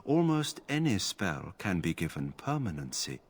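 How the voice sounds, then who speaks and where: A man playing a stoic, emotionless mage enchanter in a fantasy video game, speaking in a formal, measured way. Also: deep voice, sing-song, breathy edge, full character voice. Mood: detached, formal. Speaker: game character. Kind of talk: scripted fantasy game acting.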